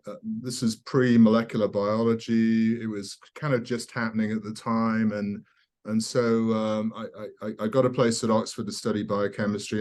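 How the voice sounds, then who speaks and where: An older man from South Wales with a softened British accent, speaking as a guest scientist in a conversational, halting, informal way. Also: on the low side. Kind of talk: conversational interview, personal recollection.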